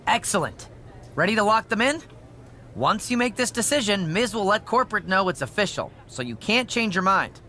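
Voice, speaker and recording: A man's voice: high-pitched, very animated, normal speed, bright, forceful tone, a little hiss or hum, full character voice. A man playing an officious, pushy messenger for a boss in a scripted game cutscene. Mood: officious, pressing.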